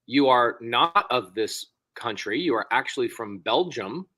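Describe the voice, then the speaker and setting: An enthusiastic man hosts a casual interview and leans into every point with eager energy. His voice is bright and forceful, and it sits in the middle range for a man. The close studio microphone gives a dry sound with no room around it. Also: mid-range voice, expressive, measured pace, dry recording. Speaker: host. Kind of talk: casual interview over a video call.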